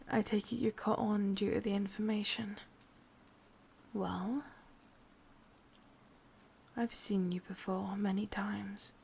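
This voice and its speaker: A woman playing a sadistic, possessive, lovesick witch in a whispered ASMR roleplay, speaking intimately in an English accent with a breathy, husky voice. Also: mid-range voice, a little mellow, slight background noise. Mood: teasing, secretive. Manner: slow, intimate, menacingly tender.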